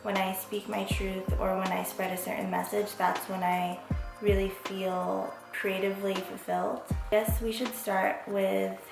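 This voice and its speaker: Woman, twenties, from Las Vegas. Vlog host, frank and reflective, gravelly fry. Echoey room. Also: medium pitch, level tone, unhurried. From Las Vegas, USA. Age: 20s. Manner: candid and reflective, casual swearing. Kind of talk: casual vlog monologue. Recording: echoey room with background music.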